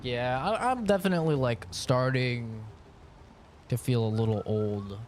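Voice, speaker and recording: A man's voice: medium pitch, measured pace, a little hiss or hum. A man in his late twenties chatting casually while he streams a game, rambling frankly with lots of fillers. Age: late 20s. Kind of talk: casual chat while gaming.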